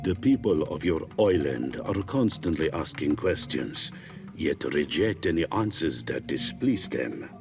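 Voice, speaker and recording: A man's voice: very deep voice, expressive, a little slow, gravelly, full character voice. An actor playing a stern, scornful wise man of a native village in a video game, solemn and disdainful. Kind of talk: video game character acting. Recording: music under the dialogue.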